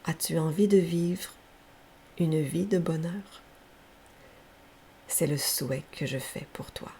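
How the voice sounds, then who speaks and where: A woman leading a guided yoga nidra relaxation as a teacher, speaking softly and breathily in gentle, unhurried, soothing instructions. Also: low voice. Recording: faint steady background hiss.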